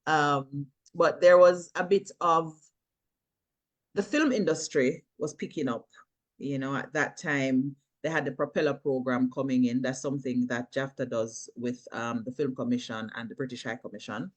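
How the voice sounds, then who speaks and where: A middle-aged Jamaican woman with a low, deep speaking voice talks through her career in a relaxed interview. She is animated and laughs readily. Her talk drifts into patois phrasing now and then. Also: normal speed. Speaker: filmmaker and actress, guest. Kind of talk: casual interview chat.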